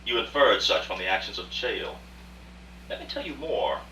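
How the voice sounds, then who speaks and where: Genteel, wealthy older art dealer played by a male radio actor, formal and courtly, lowish forceful voice, echoey room. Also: sing-song. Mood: defensive, insistent. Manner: formal, courtly, deliberate storytelling. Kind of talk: radio play acting, rehearsed dialogue. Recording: distant, echoey room with faint noise and hum.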